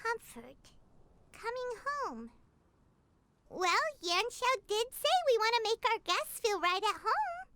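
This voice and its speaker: A woman voicing a tiny, excitable, blunt fairy-like girl companion with a childish manner, in an anime-style video game. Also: very high voice, takes it slowly, heavily acted. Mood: questioning. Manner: childish, refers to self in third person, exclaiming.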